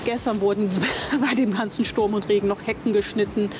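A woman's voice: mid-range voice, fairly even intonation, fairly quick, noisy background. An older woman giving a chatty, enthusiastic on-camera tour of a campsite outdoors, thinking aloud and correcting herself as she goes. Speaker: vlogger touring a campsite. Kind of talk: casual on-camera tour commentary.

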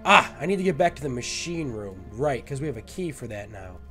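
A young man with a cold talks through a stuffed, nasal voice as he plays, chatting and mocking himself. His pitch swings lively up and down. He is on a headset mic in a small home room, with faint music behind. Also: a little fast. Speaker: gaming video host. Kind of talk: let's play commentary.

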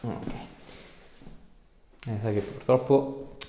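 A man's voice: lowish voice, steady tone, drawn-out words, warm tone. A man in his thirties working as a chiropractor, explaining things to a patient in a casual, reassuring way. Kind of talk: casual consultation with a patient during treatment. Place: small treatment room, indoors. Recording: echoey small room, background noise and clicks.

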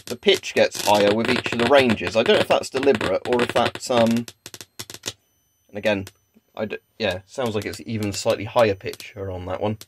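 A man's voice: lowish voice, normal speed. A man talking casually and off the cuff as he demonstrates a gadget on camera in a room at home. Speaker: video creator demonstrating gadgets. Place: small carpeted room at home, indoors. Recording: clear background noise, clicking device. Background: Geiger counter clicks and crackle.

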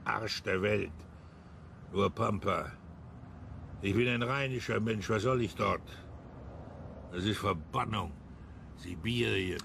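Male actor as embittered retired official, older, coarse, fry-laden voice, radio play, faint noise behind. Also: lowish voice, slow, long pauses, a bit bright, exaggerated voice. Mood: bitter, cursing. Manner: aggrieved, grumbling, blunt. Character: bitter pensioned senior security official, self-pitying, coarse. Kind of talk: German radio crime drama acting.

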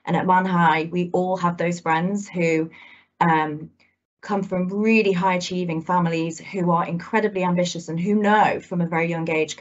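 A woman in her thirties speaks to students from home over a laptop call, chatty and loose with her fillers. Her voice is on the low side. The sound is dull and muffled. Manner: chatty, many "kind of" fillers. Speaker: guest speaker, alumna. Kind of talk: talk to students. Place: indoors at home. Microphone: laptop video call. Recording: muffled video call audio.

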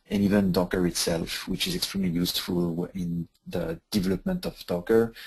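A man with a French accent giving a technical webinar presentation, talking with many pauses and filler sounds. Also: low voice, creaky, breathy edge, low-quality recording. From France.

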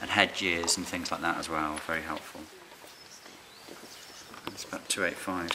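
A middle-aged man, a licensed bird ringer, calmly explaining his fieldwork while handling birds in a farm barn. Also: low-pitched, measured pace. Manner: calm, quiet, hands-on explaining with fillers. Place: open farm barn on straw, outdoors air. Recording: noisy outdoor camera recording.

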